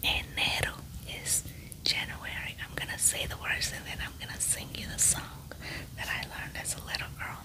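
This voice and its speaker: A woman with a Spanish-speaking accent, rolling her r's, whispers slowly through a Spanish vocabulary lesson in an ASMR style, repeating each word with care. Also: low-quality recording. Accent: Spanish-speaking, rolls her r's. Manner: slow, soft whispering with careful repetition. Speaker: Spanish teacher.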